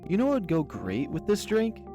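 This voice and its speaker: An eager, boyish animal friend from a visual novel, acted out by a man. He is playful and chummy, pitched up from the performer's usual voice, with music playing behind him. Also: huge pitch swings, fairly quick, lo-fi sound. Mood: playful, teasing. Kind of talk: visual novel character dub.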